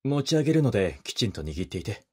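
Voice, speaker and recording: An actor playing a polite, gentlemanly young wedding photographer who speaks softly and teases the listener in an audio drama. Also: male voice, on the low side, big pitch swings, fast talker, slightly rough. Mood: polite, gentle. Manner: soft-spoken, polite, teasing.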